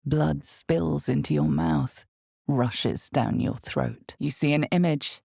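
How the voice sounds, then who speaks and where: An ominous female storyteller narrates a dark fantasy game in an extremely low voice. Her delivery is slow and deliberate, lingering dramatically on phrases. The sound is dry and close, with no room around it. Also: gravelly, breathy edge, dry recording, heavily acted. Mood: ominous, measured.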